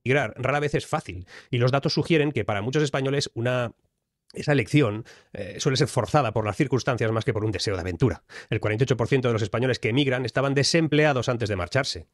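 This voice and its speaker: A man's voice: medium pitch, fast talker, no room sound, clean studio sound. A man from Spain, delivering fluent, emphatic analytical commentary. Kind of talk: explanatory commentary.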